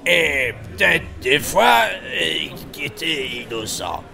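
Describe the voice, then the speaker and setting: A man's voice: a little high, sing-song, takes it slowly, creaky, faint music behind, full character voice. A man playing a drunken, washed-up army instructor, boastful, slurred and rambling, in a comic audio drama. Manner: slurred, rambling, bursting into loud indignation.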